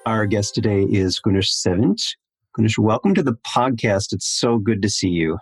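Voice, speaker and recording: A man hosting a podcast interview, speaking in a measured, gently appreciative way. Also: on the low side, husky, no echo, faint music behind. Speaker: podcast host.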